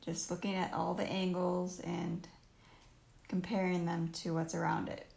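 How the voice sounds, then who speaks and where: A woman with a croaky, creaky voice gives a casual painting tutorial at an unhurried pace. She thinks aloud in short phrases as she works. The live stream sounds roomy. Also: fairly low pitch, level tone, a little mellow. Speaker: painter teaching on a livestream. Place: indoors at home, live stream while painting. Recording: roomy live stream with faint noise and clicks.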